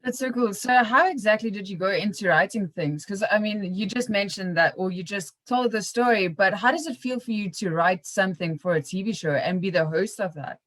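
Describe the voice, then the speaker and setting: Encouraging woman, mid-pitched. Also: fairly quick, hardly any pauses, fairly bright tone. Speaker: podcast host, interviewer. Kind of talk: casual interview chat.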